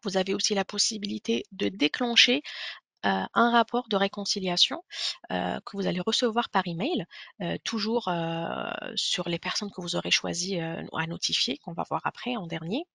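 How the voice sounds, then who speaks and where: A woman giving an explanatory software webinar walkthrough, speaking hesitantly with frequent pauses. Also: mid-range voice, creaky, no room sound, studio quality.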